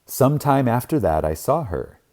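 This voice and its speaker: A man narrating a spiritual audiobook in a reverent, emphatic manner with deliberate dramatic pauses. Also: mid-range voice, very animated, darkish tone.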